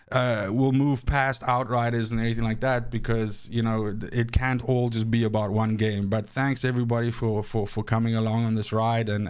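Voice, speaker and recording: Smooth-voiced man with a South African accent. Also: mid-range voice. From Namibia. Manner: rambling, repeats words when restarting; grateful, earnest. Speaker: gaming channel host. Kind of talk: casual talk to camera.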